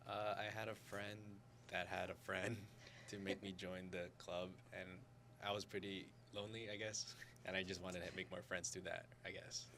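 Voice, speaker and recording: Low, flat-toned young man, noisy recording. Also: normal speed. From Florida, born in the Philippines. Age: young adult. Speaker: student club guest, dance coordinator. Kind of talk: casual interview chat. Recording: clear background noise with hum.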